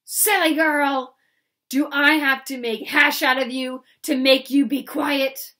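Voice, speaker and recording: A woman voicing a huge drunken bully of a man who roars his demands slowly. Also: high voice, fairly even intonation, pushed and bright, pitched above the performer's own voice, heavily acted. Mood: threatening. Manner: bellowing, slow and menacing. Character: drunken bullying strongman, male, huge and loud.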